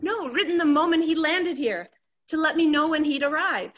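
A high-voiced, anxious wife of middle age, played by a woman, vain and fretful about herself. Her delivery is melodramatic and fluttery, full of exclamations, in the manner of a theatrical stage comedy. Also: moderate pace, fairly bright tone, muffled recording. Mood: sentimental, flustered.

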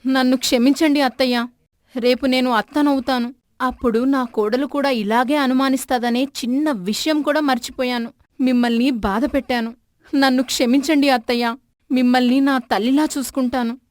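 Aggrieved young village wife, acted by a woman: high-pitched, emotional, plaintive, cartoon family drama, dry sound. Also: fairly even intonation, moderate pace, no echo, lightly acted. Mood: apologetic. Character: aggrieved young village daughter-in-law and mother. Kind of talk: animated moral story acting.

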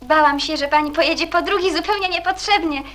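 An actress playing an eager, chatty, warm-hearted young woman in a radio play, lively and girlish. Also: very high-pitched, fast.

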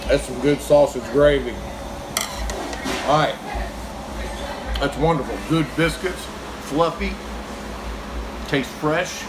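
A man's voice: mid-range voice, noisy background. A man, chatting casually as he reviews diner food while eating. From Kentucky, US. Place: small diner, indoors. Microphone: phone camera across the table.

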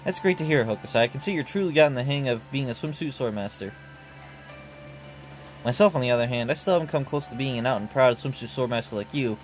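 A man voicing a humble, earnest young male knight in a game who speaks softly and sincerely. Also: mid-range voice, fast talker, soft background music, close to an everyday voice. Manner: soft-spoken, earnest.

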